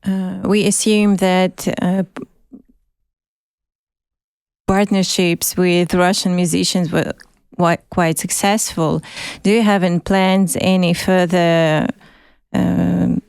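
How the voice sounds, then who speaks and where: Guy translating live, smooth voice, careful slow english, dry sound. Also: high voice, little pitch movement, no echo. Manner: translates in first person, careful, slowed English. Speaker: co-host and interpreter. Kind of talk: live interpreting. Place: radio studio.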